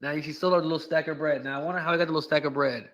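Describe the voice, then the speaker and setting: A man riffs sarcastically through a reaction video in crude, casual talk. His voice is slightly high and bright with some edge to it. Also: steady tone, racing, lo-fi sound. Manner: crude, sarcastic, speculative storytelling.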